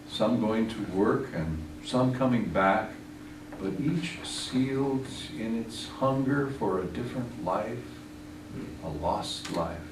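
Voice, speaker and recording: A man reading poetry aloud in a measured recitation style. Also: medium pitch. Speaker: poetry reader. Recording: echoey distant room recording, clear noise.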